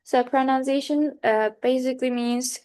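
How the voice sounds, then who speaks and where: A woman's voice: high-pitched, fairly even intonation, normal speed, darkish tone, clear, resonant tone. A young woman from Turkey with a Turkish accent, giving a class presentation in a hesitant way, with fillers and self-corrections. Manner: hesitant, many fillers and restarts. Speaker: student presenter.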